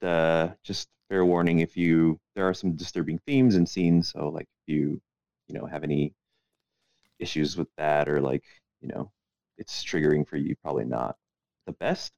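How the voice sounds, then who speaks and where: A man's voice: deep voice, normal speed, gravelly, darkish tone, no echo, muffled recording. A man co-hosting a casual podcast in a laid-back, hesitant way, often hedging what he says. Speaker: podcast co-host.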